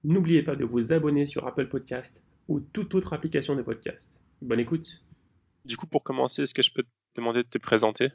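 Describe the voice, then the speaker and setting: A man's voice: medium pitch, a little fast, gravelly, a little mellow, muffled recording. A man hosting a podcast, putting questions to his guest in a conversational way. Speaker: podcast host. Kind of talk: conversational interviewing.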